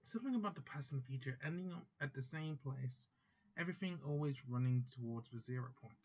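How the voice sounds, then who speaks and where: A man casually reading a visual novel's text aloud, steady but stumbling and correcting himself now and then. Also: mid-range voice, fast talker, lo-fi sound. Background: faint background music.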